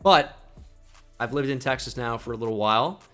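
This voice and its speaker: A man's voice: mid-range voice, moderate pace, fairly bright tone, faint music behind. A young man from New Jersey with a slight New Jersey accent, chatting casually as he comments on a football video game and thinks aloud with self-deprecating asides. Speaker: gaming YouTuber.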